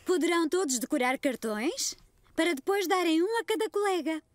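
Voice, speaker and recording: An actress playing an encouraging preschool teacher in a cartoon dub, with a European Portuguese accent. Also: female voice, extremely high pitch, fairly quick, dry recording, studio quality. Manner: clear, encouraging classroom tone. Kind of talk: cartoon dubbing.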